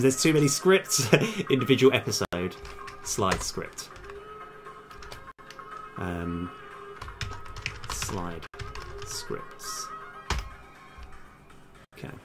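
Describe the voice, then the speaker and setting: Man, lowish pitch, casual coding stream commentary, halting, muttering to himself, clear background music, keyboard typing. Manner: halting, muttering to self while working. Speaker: game developer livestream host. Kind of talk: casual live coding commentary, thinking aloud. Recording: music behind the voice. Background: music, computer keyboard typing.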